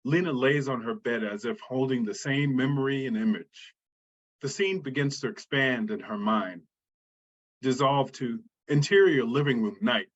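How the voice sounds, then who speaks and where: A man's voice: medium pitch, normal speed. A man reading out screenplay stage directions as a scripted narrator. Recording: dull, muffled sound.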